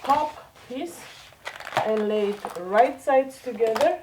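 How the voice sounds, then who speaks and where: A woman's voice: fairly high pitch, takes it slowly, recorded from a distance, background noise. Nasal woman with a cold, chatting while sewing. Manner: chatty, self-deprecating, talks while working. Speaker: sewing vlogger. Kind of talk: casual sewing tutorial. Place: home craft room.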